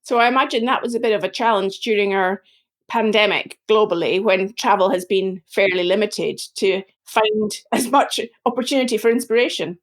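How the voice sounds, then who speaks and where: A woman hosting a podcast, introducing her guest and asking gentle, open questions. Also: mid-range voice, normal speed. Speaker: podcast host. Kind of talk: interview hosting.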